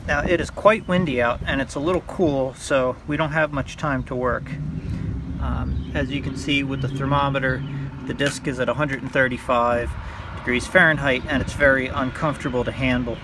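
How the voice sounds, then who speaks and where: A man talking through a hands-on repair tutorial in a halting, unscripted way, thinking aloud as he works outdoors. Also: mid-range voice, steady tone. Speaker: hobbyist demonstrating a repair. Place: outdoors by a car on a windy day. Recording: clear outdoor background noise.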